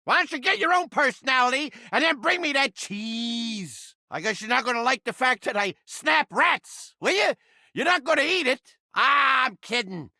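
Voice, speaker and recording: Cartoonish talking mousetrap for a game, Brooklyn accent, high piercing voice, brash, abrasive, overdramatic. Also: male voice, lively intonation, normal speed, pushed and bright, no echo, studio quality, heavily acted. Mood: sarcastic. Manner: abrasive, wisecracking, theatrical street-tough delivery. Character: cranky, foul-mouthed talking rat trap, brash and overdramatic. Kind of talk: exaggerated comedic video game character acting.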